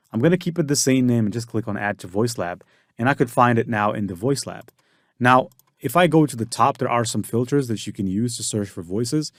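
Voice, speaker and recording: A man giving a casual software tutorial, explaining step by step with frequent fillers and restarts. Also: mid-range voice, no room sound, studio quality. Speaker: tutorial instructor.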